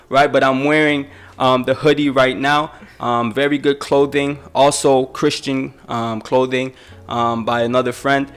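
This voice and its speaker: A young man hosting a podcast in a relaxed, casual way, with frequent "um" and "right?". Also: medium pitch, clear, resonant tone. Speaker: podcast host. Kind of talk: casual interview chat.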